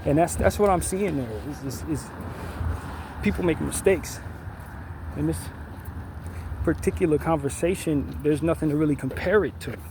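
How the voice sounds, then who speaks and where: Male commentator with a slightly high voice, deliberate and emphatic, on a noisy recording with vehicles passing. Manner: measured and deliberate, with frequent restarts and emphatic stresses. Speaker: online commentator. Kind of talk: unscripted opinion monologue.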